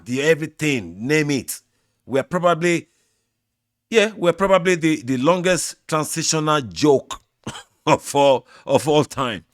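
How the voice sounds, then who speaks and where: A Nigerian man, a native Yoruba speaker, hosting his own football channel and giving measured, opinionated answers straight to his fans. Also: medium pitch, no room sound, studio quality. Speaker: sports channel host. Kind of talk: football opinion talk to camera.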